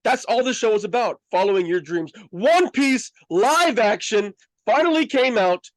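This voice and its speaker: Male review host, high-pitched voice, enthusiastic, emphatic, slangy, casual fan chat, very dry sound. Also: expressive, normal speed, dry recording, poor audio.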